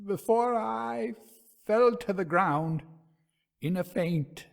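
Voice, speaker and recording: A man narrating a gothic ghost story in a theatrical, suspenseful, measured manner. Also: high voice, vocal fry.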